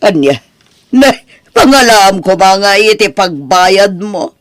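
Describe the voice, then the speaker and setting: Woman acting remorseful Ilocano aunt, slow and halting. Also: medium pitch, sing-song, no echo. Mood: taken aback. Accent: Ilocano Filipino. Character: remorseful older aunt who once belittled her niece. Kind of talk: Ilocano audio drama acting.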